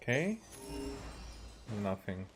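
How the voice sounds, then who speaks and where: A man's voice: fairly low pitch, very animated, takes it slowly, faint music behind. A man with a Hungarian accent casually commentating on a video game, musing aloud in short fragments. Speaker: game streamer.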